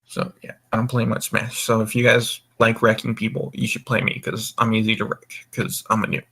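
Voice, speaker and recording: A man's voice: on the low side, monotone, fairly quick, gravelly, sharp tone, slightly rough. A drunk young man hosting a casual group chat, rambling and swearing as he goes. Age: young adult. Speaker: voice call host.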